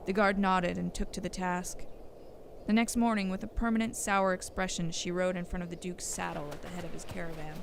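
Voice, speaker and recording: A woman narrates a scripted audio story. Her pitch is a bit lower than most women's. Noticeable noise and ambience sit behind her voice. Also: steady tone, fairly quick. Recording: clear background noise and ambience.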